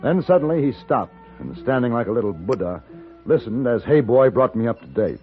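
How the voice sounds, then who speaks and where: An actor playing a cultured, wry, self-assured American gunfighter who narrates and acts in a radio western. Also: male voice, medium pitch, animated, dull audio, close to an everyday voice. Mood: wry storytelling. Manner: urbane, measured, wry. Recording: thin 1950s radio recording, music behind.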